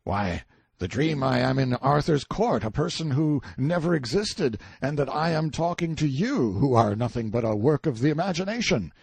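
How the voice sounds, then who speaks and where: Man playing a brash, animated stranded time traveler. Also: medium pitch, moderate pace, creaky, breathy edge, no room sound, voice pushed up. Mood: exasperated, resigned. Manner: blunt, emphatic, colloquial. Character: brash, sardonic, self-assured man stranded in King Arthur's court.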